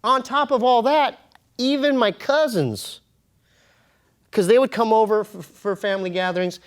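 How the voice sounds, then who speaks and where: A man telling personal stories as an interview guest, in an informal, slangy way with halting, repeated phrases. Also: high-pitched. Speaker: interview guest, comedian and podcast host. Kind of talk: casual animated storytelling.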